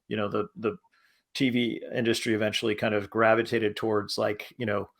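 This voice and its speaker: A man talking casually as a panelist, in a halting manner that circles back over his phrases. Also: on the low side, flat delivery, gravelly, fairly bright tone. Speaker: panelist, podcast creator.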